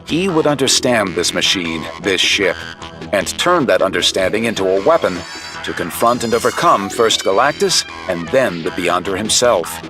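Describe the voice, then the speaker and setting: Deep-voiced man narrating dramatic comic story. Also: expressive, moderate pace. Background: prominent music and effects.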